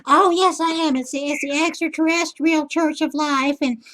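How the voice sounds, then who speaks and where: A woman's voice: high voice, steady tone, moderate pace, fairly bright tone. A woman playing a sweet-talking country lady. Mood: flirty. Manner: drawling, sugary, calls him sweetheart. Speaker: scam baiter.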